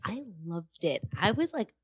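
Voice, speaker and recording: Animated young woman telling stories. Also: medium pitch, a little slow, noisy background. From Iran. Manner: animated storyteller, acts out quotes. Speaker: podcast guest. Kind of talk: casual chat.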